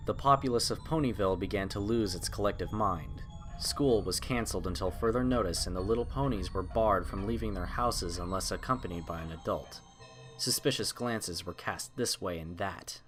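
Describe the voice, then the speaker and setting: A man narrating a horror story in a dramatic, scripted style. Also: lowish voice. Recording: prominent music under the narration. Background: music.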